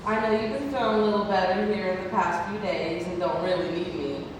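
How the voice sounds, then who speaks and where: A woman at a play table read, playing a kindly, tactful nurse with a polite, measured manner. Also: lowish voice, close to an everyday voice. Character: kindly, tactful female nurse, gently firm. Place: large indoor theatre room, far microphone. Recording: distant, echoey hall, noisy room recording.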